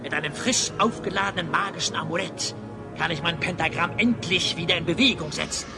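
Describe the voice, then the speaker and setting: Pompous, creaky, high-voiced wizard villain. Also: male voice. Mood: gloating. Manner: pompous, imperious, gloating. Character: pompous, vain villainous wizard, Master of Time. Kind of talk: German children's audio drama acting.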